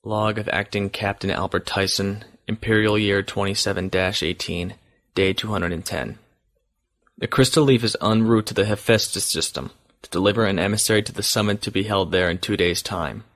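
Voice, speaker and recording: A man playing a dutiful starship captain dictating his log in an audio drama, measured and formal. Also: fairly low pitch, fairly even intonation, fairly bright tone. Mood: sober.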